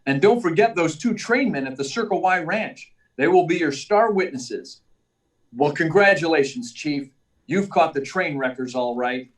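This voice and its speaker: An earnest young male reporter, acted by a man in old radio-serial style. The voice is high for a man, eager and polite, a little distant from the mic. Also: animated, natural voice. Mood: brisk, confident. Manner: earnest, brisk, deferential. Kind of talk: 1940s radio serial acting. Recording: distant mic, live remote performance.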